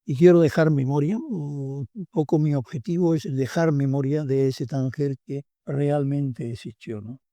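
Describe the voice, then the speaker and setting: A man's voice: on the high side, unhurried, hardly any pauses, warm tone, dry recording. An older man from Tangier with a Tangier Spanish accent, a book author speaking as a guest in a radio studio interview, reflective and hesitant with many fillers. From Tangier, Morocco.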